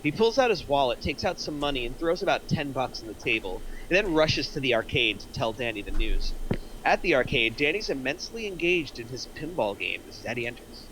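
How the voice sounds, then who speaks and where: A man's voice: mid-range voice, big pitch swings, a little fast, background noise. A man reading scripted stage directions aloud in narration at a screenplay table reading.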